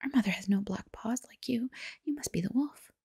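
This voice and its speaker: Wary little goat kids from a fairy tale, whispered by a woman, speaking firmly and plainly. The voice is breathy and crackly, with a very dry, close sound. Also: a little high, brisk, dark tone, no echo, heavily acted. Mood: firm refusal.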